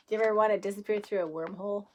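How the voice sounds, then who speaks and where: A woman's voice: mid-range voice, darkish tone, a little hiss or hum. A woman teacher reading a picture book aloud to young children in a playful way, with chatty asides and questions.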